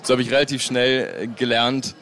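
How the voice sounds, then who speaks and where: A man in his twenties, a guest telling stories with a fast, ironic delivery. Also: mid-range voice, little pitch movement, fairly bright tone. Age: 20s.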